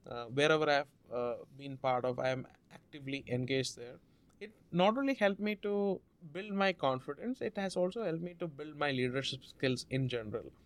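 A man from India, a Malayalam speaker, telling a story as an interview guest in an earnest, measured way. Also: medium pitch. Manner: measured, earnest, drawn-out phrasing with restarts.

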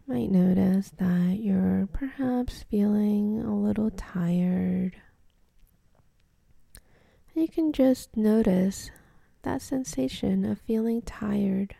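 A woman guides a meditation in a very soft, mellow voice, half-whispered and gentle. She speaks slowly, leaving space between short instructions. The sound is dry and close, with no room around it. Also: mid-range voice, vocal fry, no room sound. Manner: gentle, unhurried instruction with pauses.

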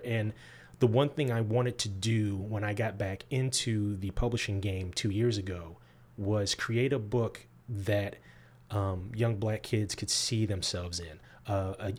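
A man born in Georgia and raised in the Midwest, a guest author answering interview questions thoughtfully, with frequent pauses. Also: fairly low pitch. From born in Georgia, grew up in the US Midwest.

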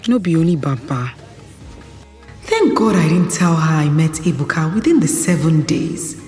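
Confident young Nigerian career woman, lowish expressive voice, audio drama, reverberant space. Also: moderate pace. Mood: dismissive, musing. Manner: expressive, mixes English with Pidgin. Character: ambitious, self-assured young female Lagos wedding planner. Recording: roomy reverb, faint music behind.

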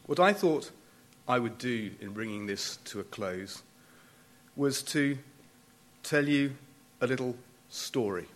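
Deliberate male speaker with dry wit. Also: mid-range voice, animated. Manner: deliberate, emphatic pauses, formal with dry wit. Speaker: closing speaker at a memorial event.

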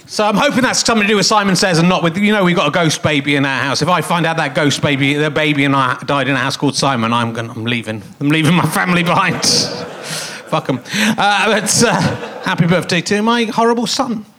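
A man's voice: on the high side, fast talker, hardly any pauses, sharp tone, slight background noise. A middle-aged man with a snotty cold hosting a comic live podcast on a theatre stage, rambling and self-mocking with lots of fillers. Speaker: podcast host.

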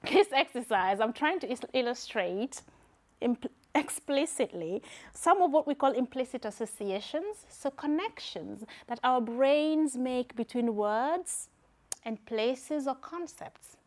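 A woman with a Kenyan accent addresses a church conference crowd. Her voice sits high and swoops up and down with lively energy. She jokes and teases as she runs a word game. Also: takes it slowly. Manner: playful, laughs often, teasing the audience. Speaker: conference speaker. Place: church hall with live audience.

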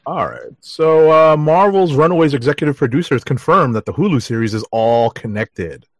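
A man hosting a podcast, chatting casually through news items with halting, measured phrasing. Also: a little high, expressive, no echo. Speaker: podcast host. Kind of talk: casual comic book news chat.

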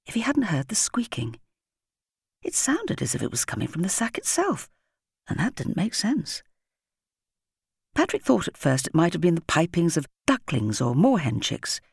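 Female children's audiobook narrator. Breathy, husky texture, dramatic storytelling delivery, dry studio-like sound. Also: sits low, dry recording. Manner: dramatic, suspenseful storytelling with varied emphasis.

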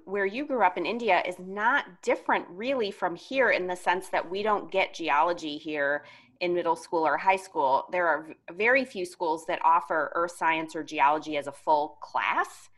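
Enthusiastic woman podcast host, lower voice. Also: normal speed. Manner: effusive, enthusiastic. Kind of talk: casual interview talk.

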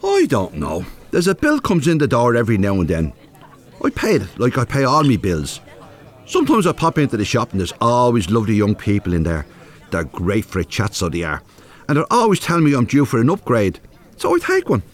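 A man's voice: mid-range voice, huge pitch swings, brisk. An actor playing a plain-spoken, good-hearted older retired binman with a north Dublin accent in a radio drama. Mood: sheepish, chatty. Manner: earnest, folksy, bantering. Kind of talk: naturalistic radio drama acting.

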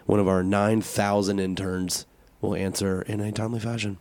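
An American man co-hosting a talk podcast in casual chat, joking and self-deprecating, with a raspy voice from a cold. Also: fairly low pitch, flat delivery, normal speed, a little mellow, no room sound. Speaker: podcast and radio show co-host.

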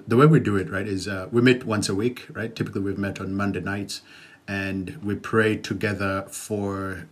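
A man in his thirties with a fairly low voice speaks as a podcast guest. He thinks aloud and hesitates, and he often adds fillers and "right?" tags. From Uganda. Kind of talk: conversational podcast interview.

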